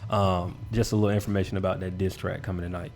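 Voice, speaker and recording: A man hosting a casual talk show, giving steady affirmations and reading out news updates. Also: sits low, fast talker, soft background music. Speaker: show host.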